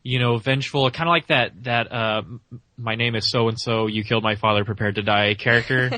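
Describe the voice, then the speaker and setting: A man co-hosting a podcast, casually reasoning aloud through his opinions on animated films. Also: medium pitch, a little fast, no room sound. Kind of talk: casual film discussion.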